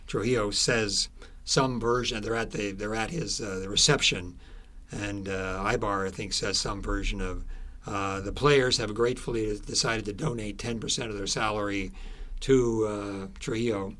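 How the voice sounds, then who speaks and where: Male author interviewee, lowish voice, conversational, feels his way through explanations. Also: steady tone, moderate pace, sharp tone. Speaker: author being interviewed about his book.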